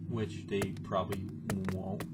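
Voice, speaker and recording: Male reactor, deep register, casual hesitant muttering, loud music underneath. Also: level tone, a little slow, low-quality recording. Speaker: reaction video host. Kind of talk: casual off-the-cuff reaction commentary.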